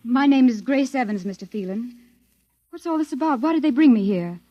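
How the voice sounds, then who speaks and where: A woman's voice: a little high, lively intonation, brisk, mellow, no room sound, lightly acted. An actress playing an earnest, distressed but determined woman captive in a 1950s radio drama. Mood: wary, confused. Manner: anxious, earnest, questioning. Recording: dull vintage 1950s radio recording.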